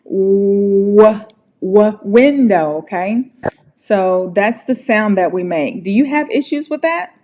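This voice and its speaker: A woman's voice: mid-range voice, animated, a little mellow, slight background noise. An American woman teaching English pronunciation in a casual online lesson, friendly and encouraging. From United States. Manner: friendly, encouraging, slow and deliberate.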